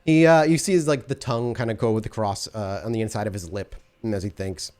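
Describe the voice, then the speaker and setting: A man's voice: on the low side, fast talker, darkish tone. A man running a tabletop role-playing game as storyteller, narrating loosely and laughing often.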